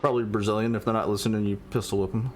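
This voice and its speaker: A man's voice: fairly low pitch, little pitch movement, slight background noise. A man in his late thirties giving casual live commentary on an online race, joking with his co-host and speeding up when he calls lap times. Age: 30s. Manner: laid-back banter, quickens when calling lap times.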